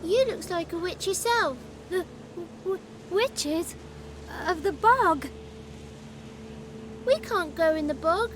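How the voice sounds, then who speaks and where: A woman's voice: extremely high pitch, animated, takes it slowly, pauses often, a bit bright, slight background noise, heavily acted. A woman playing a cheeky, blunt peasant orphan child in a fantasy video game, talking in rustic, ungrammatical phrases, with music behind. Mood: wary. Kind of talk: video game character acting.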